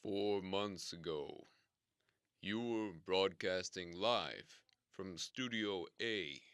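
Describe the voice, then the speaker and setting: An actor playing a formal, theatrical radio station boss who explains things slowly and swears grand oaths. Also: male voice, low-pitched, a bit bright, smooth, dry recording, studio quality, exaggerated voice. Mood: grave. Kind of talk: comedic audio drama acting.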